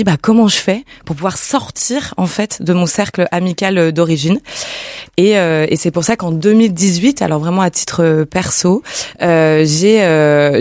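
A woman's voice: fairly low pitch, darkish tone, no echo, clean studio sound. A woman from Lyon, France, guest on a radio show, talking about the women's meetup network she founded. She speaks spontaneously, with many fillers and restarts. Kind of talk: radio interview, spontaneous speech.

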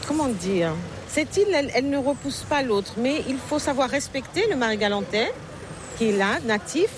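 Une femme d'âge moyen, romancière originaire de Paris, répond en entretien de façon réfléchie et hésitante, avec des « euh » et des reprises fréquentes. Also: female voice, high voice, animated, normal speed. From Paris, France. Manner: reflective, hesitant with frequent fillers and restarts. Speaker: novelist guest. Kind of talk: casual interview conversation. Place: outdoor market, among people. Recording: busy crowd noise outdoors. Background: crowd chatter, passing vehicles.

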